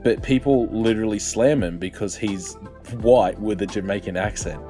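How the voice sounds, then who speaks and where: A man's voice: fairly low pitch, mellow, faint music behind. A young man co-hosting a casual podcast chat, swearing often and getting emphatic as he rants. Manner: sweary, emphatic, quick to rant. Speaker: podcast co-host.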